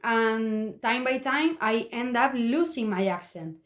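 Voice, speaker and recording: A young woman with a Spanish accent, a native Spanish speaker, giving a prepared motivational talk in halting, earnest learner English. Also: fairly high pitch, animated. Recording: echoey room.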